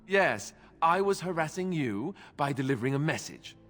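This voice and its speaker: An actor voicing a wry, glib young warrior in a fantasy video game, full of sarcasm. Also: male voice, on the high side, sing-song, normal speed, fairly bright tone, long reverb tail. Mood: sarcastic. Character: wry, joking young warrior, former templar.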